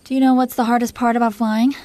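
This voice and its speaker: Mellow, teasing dragon queen played by a woman. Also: on the high side, little pitch movement, fast, dry recording, close to an everyday voice. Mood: gentle, wistful. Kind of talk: intimate romantic roleplay audio.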